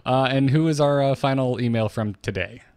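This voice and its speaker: A very dry, close sound on a man with a medium-pitched voice. He co-hosts a gaming podcast, chatting casually in a wry, slightly halting way. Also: darkish tone, dry recording. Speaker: podcast co-host. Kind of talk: casual chat about video game lore.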